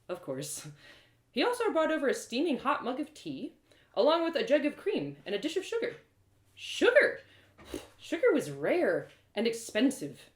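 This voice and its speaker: A woman narrating a first-person fantasy story as a storyteller, expressive and playful. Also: medium pitch. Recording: roomy echo.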